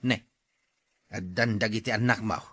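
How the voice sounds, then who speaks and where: A man's voice: lowish voice, fairly even intonation, moderate pace, a bit bright, husky, dry recording, studio quality. A man playing an exasperated, reproachful elderly husband in a radio drama, nagging and questioning as he talks.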